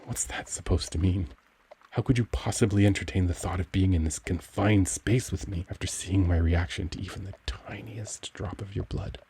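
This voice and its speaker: A man playing a gentle, cultured young vampire in an intimate ASMR roleplay, speaking formally and tenderly as he struggles for control. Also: low-pitched, gravelly, slight background noise. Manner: formal, courtly, tender, brooding.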